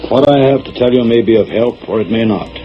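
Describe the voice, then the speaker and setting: A man playing a kindly, solemn frontier mission priest with formal, measured phrasing in 1940s radio drama. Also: medium pitch, lightly acted. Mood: grave, concerned. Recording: muffled, noisy 1940s radio recording.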